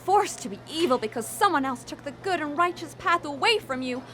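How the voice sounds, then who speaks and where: High, swooping female angel. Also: expressive, brisk, pushed and bright, a little hiss or hum. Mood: dismayed. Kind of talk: live radio drama acting.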